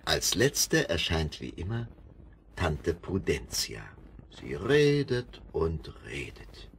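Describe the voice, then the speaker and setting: An older man narrating a children's radio play in a lively storytelling manner. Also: low voice, takes it slowly, a little hiss or hum. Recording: vinyl rip with music bed.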